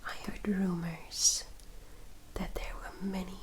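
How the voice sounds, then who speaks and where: A gentle young vampire woman, acted out by a woman in an ASMR roleplay, whispering at a very slow pace. Her delivery is hushed and careful, with slightly formal wording, like a loyal attendant confiding in a newcomer. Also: mid-range voice, level tone, natural voice.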